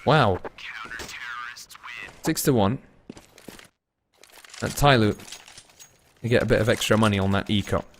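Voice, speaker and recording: A young man casting an esports match, laid-back and tired between plays, rising to fast, excited calls when the action heats up. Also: on the low side, a little hiss or hum. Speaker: esports caster. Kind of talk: live esports commentary mixed with chatting to viewers.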